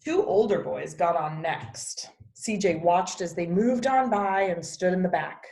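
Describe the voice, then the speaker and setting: A woman reading a children's picture book aloud as a teacher, with expressive storybook narration. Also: lowish voice, a little slow, vocal fry. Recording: echoey, roomy sound.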